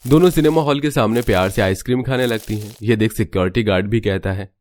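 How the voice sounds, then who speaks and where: A man narrating a cartoon family story in a steady, scripted storytelling manner. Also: on the low side, quick pace, slight background noise.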